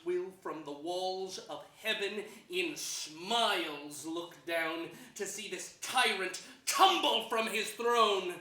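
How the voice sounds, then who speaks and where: Bitter nobleman played by a man, sharp and deliberate classical stage declamation in a roomy theater. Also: sits high, heavily acted. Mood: bitter, vengeful. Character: bitter, wronged nobleman cursing a tyrant. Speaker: stage actor. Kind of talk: declaimed Shakespearean staged reading. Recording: echoey theater hall.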